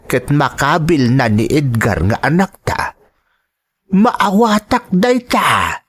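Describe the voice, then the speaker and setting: A man acting an elderly, concerned Filipino grandfather in an audio drama, speaking in a deliberate, soothing manner. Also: on the high side, big pitch swings, fairly bright tone, slightly rough, full character voice.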